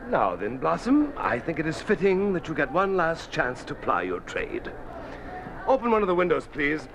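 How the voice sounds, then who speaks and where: An actor playing a sardonic, gloating communist commissar with silky mock courtesy and precise formal diction, in a 1950s radio drama. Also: male voice, on the high side, huge pitch swings, normal speed. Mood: gloating, sinister. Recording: noisy old radio recording.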